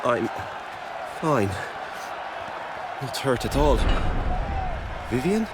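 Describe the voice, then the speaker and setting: An earnest young knight in a fantasy game, injured and speaking extremely slowly. His medium-pitched voice is labored with pain, amid a loud crowd and noise. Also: big pitch swings. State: pained, labored speech.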